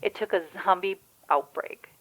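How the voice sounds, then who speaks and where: A woman's voice: medium pitch, moderate pace, fairly bright tone, natural voice, low-quality recording. A woman playing a chatty, wry, anxious friend in a naturalistic audio drama, rambling candidly with the odd swear. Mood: hurt, exasperated. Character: chatty, wry, anxious Michigan woman on the phone during zombie outbreak.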